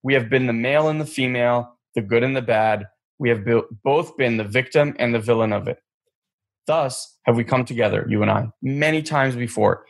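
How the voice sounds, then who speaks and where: A man voicing a kind, loving soul in a storybook reading, with a gravelly, husky voice. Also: medium pitch, close to an everyday voice.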